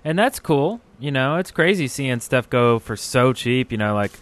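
A man's voice: mid-range voice, expressive, moderate pace, a bit bright. A man co-hosting a podcast, chatting in a laid-back, informal way. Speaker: podcast co-host. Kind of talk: casual chat.

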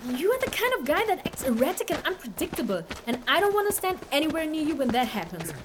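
A woman playing a nervy, outspoken, fair-minded companion in an audio drama, hesitant but quick to protest. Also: high voice, background noise. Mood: indignant. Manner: hesitant yet protesting, expressive. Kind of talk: audio fiction acting.

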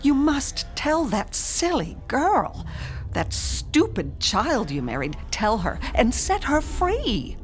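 A woman's voice: sits high, huge pitch swings, slow, exaggerated voice. A woman playing a possessive, scornful spirit medium in a radio horror drama, with grand, dramatic phrasing. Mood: intense, bitter longing.

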